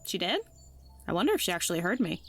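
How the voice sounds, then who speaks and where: A woman's voice: fairly low pitch, sing-song, fast talker, soft background music. An actress in an audio drama playing a blunt, sardonic woman with a casual, slangy way of talking. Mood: wry, skeptical. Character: Olivia, blunt, sardonic, slangy human friend.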